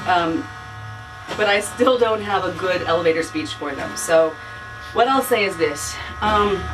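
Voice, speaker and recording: A woman author speaking informally at a bookstore event, reflective and self-deprecating, often restarting her phrases as she explains her work. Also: on the low side. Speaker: author being interviewed about her book. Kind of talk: informal spoken book talk with audience. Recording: distant mic, faint room noise.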